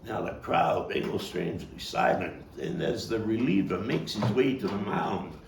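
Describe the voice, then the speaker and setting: A man performing a theatrical stage monologue as a streetwise, nostalgic Brooklyn baseball fan, telling it with deliberate pauses and big swings in volume. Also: on the low side, lively intonation, vocal fry. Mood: dramatic storytelling. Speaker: actor and playwright performing his own monologue. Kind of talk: dramatic stage monologue at a table read. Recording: roomy, distant mic with faint noise.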